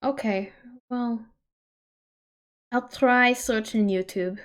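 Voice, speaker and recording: Woman, livestream host, high voice, unhurried pace, casual chat, expressive and exclamatory.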